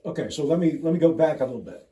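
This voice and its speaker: A man's voice: on the high side, very fast, warm tone. A man hosting a casual interview, asking chatty, friendly questions. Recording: echoey room with faint noise.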